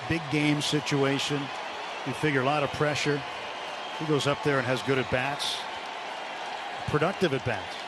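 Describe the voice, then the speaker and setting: A man giving relaxed, conversational color commentary on a radio baseball broadcast. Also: medium pitch, moderate pace, long pauses, a loud crowd, very noisy.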